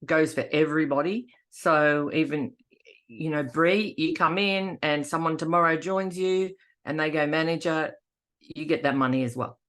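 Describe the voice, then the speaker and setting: Middle-aged woman, Australian accent, slightly low pitch, informal team update, loose and rambling. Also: moderate pace, fairly bright tone. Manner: loose, rambling, often restarting sentences. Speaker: team leader giving an update.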